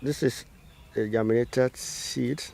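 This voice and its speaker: A man's voice: mid-range voice, fairly even intonation, takes it slowly, creaky, a little mellow. A man giving step-by-step instruction as an agricultural trainer, in methodical, formal wording. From Sierra Leone.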